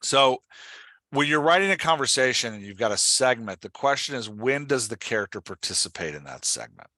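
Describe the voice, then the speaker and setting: Bright-voiced man coaching, close and dry. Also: medium pitch, very animated, brisk, no room sound. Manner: direct, instructive, often checks understanding. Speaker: podcast host coaching an author on dialogue. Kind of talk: casual one-on-one coaching talk.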